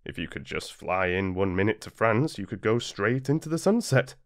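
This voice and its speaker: A British man narrating a storybook as an audiobook, reading expressively and deliberately with dramatic pauses. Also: lowish voice, very animated, no room sound, clean studio sound. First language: English. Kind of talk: scripted storybook narration, read-along.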